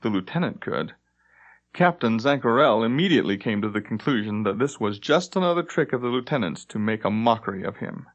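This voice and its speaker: A man's voice: fairly low pitch, animated, brisk, muffled recording. A man reading scripted fantasy narration as an audiobook narrator.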